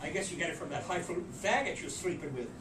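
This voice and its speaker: A man's voice: on the high side, rapid-fire, close to an everyday voice. A man playing a haughty, campy drag queen mother, theatrical, arch and scolding, in a dramatised novel reading. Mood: scornful. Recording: roomy, distant, noisy amateur recording.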